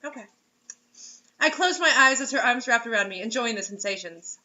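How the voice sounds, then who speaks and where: High-voiced woman reading aloud, bright and sarcastic. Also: lively intonation, brisk, dull audio. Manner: sarcastic, breaks off to comment. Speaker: host reading a story aloud. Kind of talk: mocking dramatic read-aloud with casual asides.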